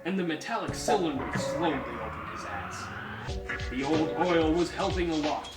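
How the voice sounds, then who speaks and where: A man's voice: a little high, lively intonation, normal speed. Man doing a dramatic reading, distant under music. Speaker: fan fiction reader. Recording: roomy, distant mic under loud music.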